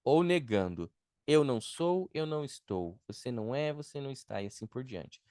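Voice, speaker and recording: A man's voice: medium pitch, lively intonation, normal speed, darkish tone, dry recording, clean studio sound. A Brazilian man, a native Portuguese speaker, teaching a beginner language lesson step by step, repeating items and checking that students follow. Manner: didactic, step-by-step with repetition and check-in tags. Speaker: English teacher.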